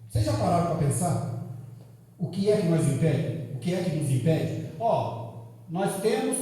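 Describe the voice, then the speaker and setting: A Brazilian man preaches with a high, mellow voice, firing rhetorical questions at the room and sliding between soft asides and loud appeals. He sounds far from the mic, his words ringing around a big church hall with a long, booming echo. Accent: Brazilian Portuguese. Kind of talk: evening sermon. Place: large echoing church hall.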